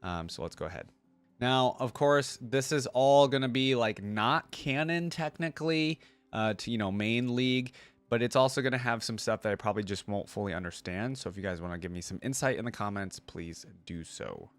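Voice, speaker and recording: A man's voice: mid-range voice, normal speed, smooth, no room sound. Dry-sounding man doing casual reaction commentary. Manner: informal, conversational, thinking aloud. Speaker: reaction video host.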